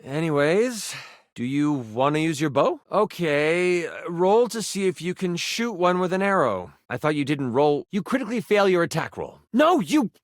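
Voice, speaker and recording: Theatrical game master with swooping pitch. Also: male voice, very animated, normal speed, no echo, studio quality. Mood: wry narrating. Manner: theatrical, sardonic, easily exasperated. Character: exasperated, theatrical male game master, a living twenty-sided die. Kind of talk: tabletop game narration with banter, video game voice acting.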